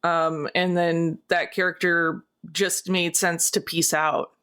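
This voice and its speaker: A woman's voice: lowish voice, little pitch movement, slow, fairly bright tone, clean tone. A woman chatting casually as a player in a tabletop game discussion. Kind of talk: casual chat.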